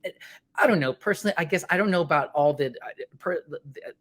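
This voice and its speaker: High-voiced man, halting speech. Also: lively intonation, fairly bright tone. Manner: halting, many restarts. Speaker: guest singer. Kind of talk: casual interview chat.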